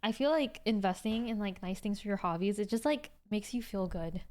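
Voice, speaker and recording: A woman's voice: mid-range voice, a little fast, a little mellow. A woman in her twenties hosting a livestream, chatting casually with viewers in a rambling way full of "like". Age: 20s. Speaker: livestream host.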